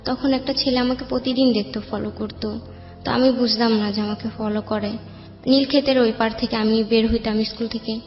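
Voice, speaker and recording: A woman's voice: fairly high pitch, steady tone, fairly quick. A woman from Bangladesh with a Bangladeshi accent, telling her personal life story as an interview guest. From Bangladesh, raised in Dhaka. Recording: muffled, echoey room with music bed.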